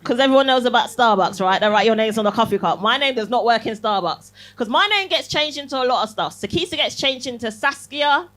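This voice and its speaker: A woman's voice: on the high side, expressive, a little fast, hardly any pauses, a bit bright. A young woman from Barbados performing a cheeky stand-up set in a comedy club, teasing the crowd and laughing through her lines. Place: comedy club, live audience.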